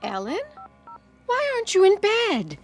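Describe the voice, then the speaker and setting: A woman's voice: very high-pitched, wildly swooping pitch, unhurried, exaggerated voice. An actress playing a concerned middle-aged mother, questioning and solicitous, in a radio drama. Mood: concerned, soothing.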